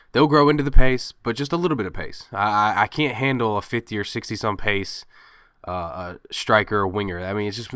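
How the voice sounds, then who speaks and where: Young male gamer, congested, rambling commentary, bright tone, dry recording. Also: on the low side, dry recording. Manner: rambling, many fillers and restarts. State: stuffed up from a cold. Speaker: gaming commentator. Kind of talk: casual game commentary.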